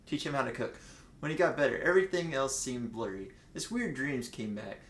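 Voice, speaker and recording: A man's voice: medium pitch, lively intonation, brisk, a bit bright. Man, casual comedic reading, giggly, joking riffs, echoey room sound. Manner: giggly, joking, breaks off to riff. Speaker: guest co-reader of fan fiction. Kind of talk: comedic read-aloud with casual banter.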